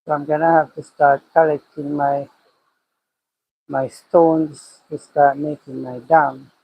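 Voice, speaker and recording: A man's voice: fairly high pitch, flat delivery, takes it slowly, vocal fry, husky, narrow, tinny audio. A man with a Jamaican accent, a cattle farmer livestreaming from his pasture, thinking aloud and repeating his phrases as he talks. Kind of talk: casual livestream chat, reading comments. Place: outdoors by a cow pasture.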